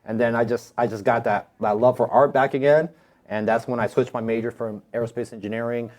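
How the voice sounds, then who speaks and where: Fast-talking man, slangy and casual. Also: mid-range voice, steady tone, darkish tone. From United States. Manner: informal, slangy, with frequent swearing. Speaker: tattoo artist, podcast guest. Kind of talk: casual conversational storytelling.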